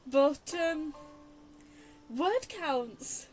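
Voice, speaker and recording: A woman's voice: sits high, lively intonation, very slow, soft background music, rough recording. A chatty young woman giving casual, digressive, self-deprecating game commentary. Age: young adult. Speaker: game streamer.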